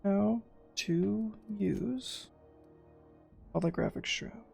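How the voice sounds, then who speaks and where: A man's voice: high voice, drawn-out words, vocal fry, darkish tone. A man doing casual gameplay commentary, jokily muttering back at the game's characters. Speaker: gamer, streamer. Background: faint game music.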